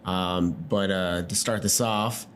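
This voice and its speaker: A man hosting a podcast, welcoming a guest and asking questions in a halting, casual way with many restarts. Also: deep voice, fairly even intonation, slight background noise. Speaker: podcast host.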